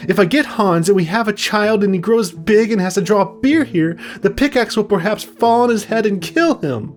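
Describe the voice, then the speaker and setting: A man's voice: sits high, moderate pace, faint music behind, voice pushed up, natural voice. A man voicing a foolish, anxious young woman from a storybook, plaintive and overwrought. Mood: anxious wailing. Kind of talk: storybook character voice.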